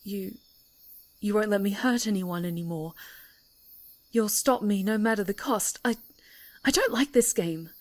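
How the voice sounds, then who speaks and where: Woman doing a yandere superhero villainess, asmr roleplay, breathy n halting, slow and dramatic. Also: medium pitch, close to an everyday voice. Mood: confused, uneasy. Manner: breathy, halting, theatrical delivery. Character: obsessive yandere superhero villainess, pleading and enraged. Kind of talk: intimate ASMR roleplay acting.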